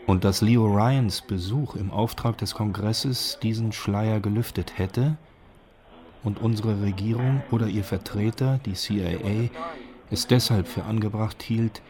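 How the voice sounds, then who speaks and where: A man with a low voice reads from a prepared script. The delivery is that of formal documentary narration. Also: unhurried, a little mellow.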